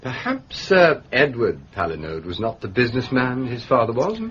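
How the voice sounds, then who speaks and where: An actor playing a mild, thoughtful English gentleman sleuth in a period radio drama, polite, diffident and gently probing. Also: medium pitch, big pitch swings, normal speed. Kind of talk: British period detective radio drama acting. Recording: muffled radio recording, clear background noise.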